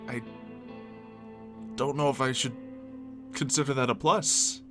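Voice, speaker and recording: A man playing a conflicted survivor of a zombie apocalypse in an improvised roleplay, halting and unsure. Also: medium pitch, steady tone, music in the background, natural voice. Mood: apologetic, unsettled. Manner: halting, unsure, soul-searching. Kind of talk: improvised roleplay dialogue and monologue.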